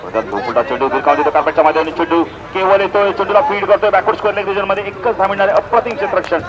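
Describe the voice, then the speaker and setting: Fast, high-voiced male cricket commentator. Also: rough recording. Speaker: cricket commentator and match announcer. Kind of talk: live play-by-play commentary at a local tournament. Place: outdoor cricket ground. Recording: outdoor event PA with crowd noise. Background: crowd and outdoor event noise.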